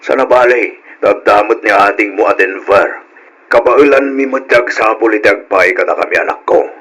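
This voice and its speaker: A man's voice: fairly low pitch, moderate pace, a bit bright. An actor playing a weary, protective older father from a poor village, earnest and plain, with an Ilocano accent, in an old radio drama. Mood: gentle.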